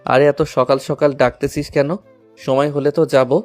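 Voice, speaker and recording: A man's voice: medium pitch, little pitch movement, moderate pace, darkish tone, soft background music, close to an everyday voice. A man playing an affectionate, eager schoolboy and older brother in an audio story. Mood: impatient.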